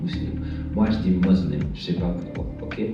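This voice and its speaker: A young man from France performing a stand-up set on a bar stage, talking in a halting way with lots of fillers and restarts. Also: deep voice. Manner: hesitant, halting delivery with many fillers and restarts. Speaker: stand-up comedian. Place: bar stage with live audience. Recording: echoey, dull room sound from far off, music behind.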